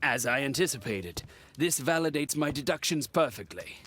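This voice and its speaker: An actor playing a self-satisfied, theatrical Victorian gentleman in a video game, with a teasing manner. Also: medium pitch, expressive, moderate pace, slight background noise. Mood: smug. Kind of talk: video game dialogue acting.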